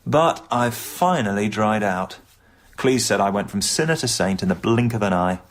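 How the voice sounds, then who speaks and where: An English actor playing an earnest, wry comedian and recovering drinker in a radio comedy drama. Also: male voice, lowish voice, lively intonation, normal speed, close to an everyday voice. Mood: wry narration.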